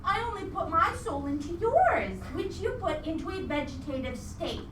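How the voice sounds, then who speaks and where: A woman's voice: very high voice, big pitch swings, moderate pace. A woman acting a vengeful, gleefully demonic female ghost in a live radio play, with a theatrical, taunting delivery full of wordplay. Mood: indignant. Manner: theatrical, taunting, pun-heavy. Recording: echoey live venue, distant mic, noisy.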